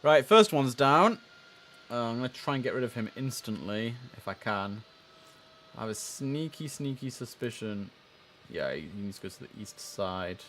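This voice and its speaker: A man with a mid-pitched voice streams an air traffic control game over faint background noise. He chats casually in muttered asides, then switches to clipped, formal controller calls. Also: big pitch swings, moderate pace, fairly bright tone. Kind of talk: live game stream commentary mixed with radio-style controller calls.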